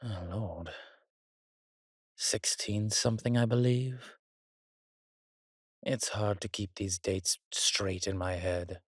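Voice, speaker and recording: Man acting a slow, husky, roguish old vampire. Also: lowish voice, fairly even intonation, no room sound, studio quality. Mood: wry, weary. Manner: theatrical, archaic turns of phrase, teasing. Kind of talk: intimate roleplay audio drama.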